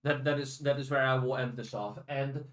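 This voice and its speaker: A man's voice: mid-range voice, steady tone, normal speed, a bit bright. A man hosting a podcast in a casual, informal and blunt chatting style. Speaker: podcast host.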